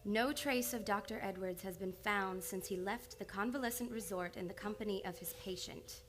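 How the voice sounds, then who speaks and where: A woman acting in an old-time radio drama as a measured, determined psychiatrist in love. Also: medium pitch, a bit bright, slight background noise, close to an everyday voice. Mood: coaxing. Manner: measured, controlled, earnest. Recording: live radio play with music behind.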